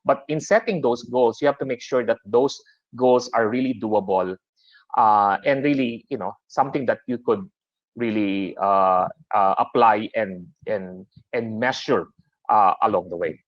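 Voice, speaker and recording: A man's voice: fairly low pitch, unhurried, no echo. A man with a Filipino accent giving a business webinar presentation, talking with many fillers and restarts. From Philippines. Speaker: webinar presenter for a super app. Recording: dry, slightly dull webinar audio.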